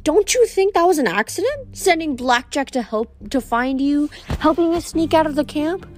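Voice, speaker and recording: A woman's voice: high-pitched, lively intonation, a little hiss or hum, voice pushed up. A woman voicing a vain, gushing goddess of love who drawls and coos dramatically. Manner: breathy, drawn-out, dramatic gushing.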